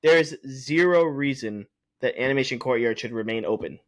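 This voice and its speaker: A podcast co-host getting over a cold, speaking bluntly and with strong opinions. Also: male voice, mid-range voice, normal speed. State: recovering from a cold. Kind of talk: casual chat.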